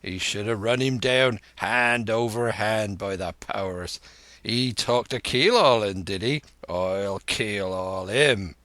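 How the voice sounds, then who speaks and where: A man's voice: fairly low pitch, slow pace, sharp tone, no echo. A man voicing a hearty, cunning tavern-keeper and old seaman, emphatic and blustering, with old nautical turns of phrase, in a storybook reading. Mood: excited, blustering.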